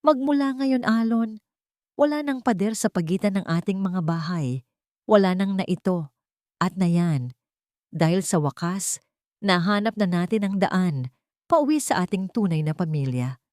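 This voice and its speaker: A woman's voice: mid-range voice, expressive, measured pace, long pauses, a little mellow, no echo, clean studio sound, natural voice. A woman narrator voicing a hopeful, reassuring young wife in a story. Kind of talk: storybook dialogue within narration.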